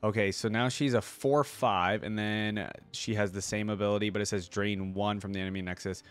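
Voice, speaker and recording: A man's voice: lowish voice, steady tone, a little fast, fairly bright tone, smooth. A man hosting a reaction video with casual, laid-back commentary, thinking aloud appreciatively as he goes.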